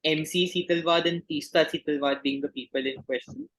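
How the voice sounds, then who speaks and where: Male quizmaster, slightly high-pitched, formal and measured delivery of trivia questions. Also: steady tone, fairly bright tone, poor audio. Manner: formal, measured question reading with set confirming phrases. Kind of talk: reading quiz questions in an online quiz game.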